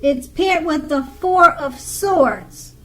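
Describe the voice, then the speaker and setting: A woman giving an unscripted tarot card reading in a halting way, pausing and repeating her phrases. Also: high voice, pushed and bright. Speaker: tarot reader.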